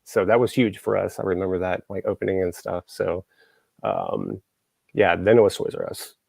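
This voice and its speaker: A man's voice: low-pitched, very animated, moderate pace, creaky, a little mellow, slightly rough, dry recording. A man from Texas, hosting a show and chatting casually, rambling with lots of fillers and restarts. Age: adult, grew up in the 1980s. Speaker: show host. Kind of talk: casual podcast chat.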